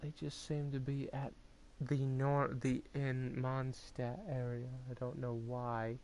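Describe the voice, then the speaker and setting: A man giving casual gameplay commentary, rambling and thinking out loud. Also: medium pitch, monotone, slow, a little hiss or hum. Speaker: gamer, video host. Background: loud video game music.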